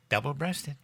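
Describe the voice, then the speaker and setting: An actor playing a childish, guileless older American president and doting husband in an audio sitcom, earnest and excitable. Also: male voice, medium pitch, gravelly, breathy edge, full character voice. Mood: childlike, affectionate.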